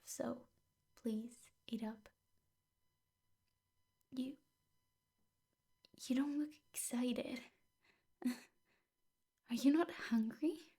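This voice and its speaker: A woman playing a possessive, lovesick teacher who dotes on her captive in a soft, often whispered ASMR roleplay, coaxing with hesitant pauses. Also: on the high side, heavily acted. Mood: sweet, doting.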